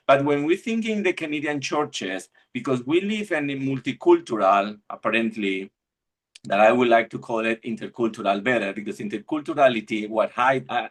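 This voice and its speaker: A man's voice: mid-range voice, a bit bright, breathy edge. A middle-aged man from Argentina, giving a reflective church webinar talk over a video call and often restarting his phrases as he searches for words. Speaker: webinar speaker.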